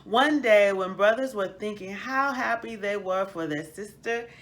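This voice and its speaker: A woman reading a children's book aloud as host, in an expressive, emphatic storytelling style. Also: medium pitch, a little slow.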